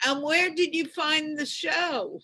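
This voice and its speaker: A woman hosting a show, asking encouraging questions in a deliberate way on a video call. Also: high-pitched, fairly even intonation, pushed and bright. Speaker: host.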